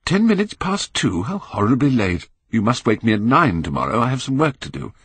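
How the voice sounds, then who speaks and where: A British man voicing a polite young gentleman in an audiobook, brisk and casually self-possessed with his servant. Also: mid-range voice, very animated, slightly rough, dry recording, pitched above the performer's own voice, full character voice. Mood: casually apologetic. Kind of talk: audiobook character reading.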